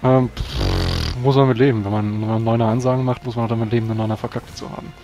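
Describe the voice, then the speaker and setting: A man's voice: medium pitch, steady tone, quick pace, vocal fry, over music. Casual man at game table. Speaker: role-playing game player.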